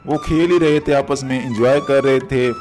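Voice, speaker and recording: A man's voice: mid-range voice, moderate pace. A man narrating a moral story for children as a storyteller. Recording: music behind the voice.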